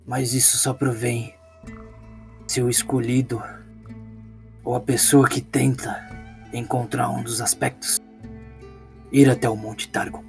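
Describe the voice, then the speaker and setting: A Brazilian man playing a grave, reverent storyteller in a tabletop roleplay, speaking haltingly of ancient things. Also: medium pitch, level tone, gravelly, soft background music. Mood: solemn. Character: solemn male storyteller awed by ancient legends.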